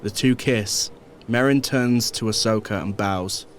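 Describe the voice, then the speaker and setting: A man's voice: fairly low pitch, unhurried, slight background noise. A man narrating a scripted audio drama. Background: music.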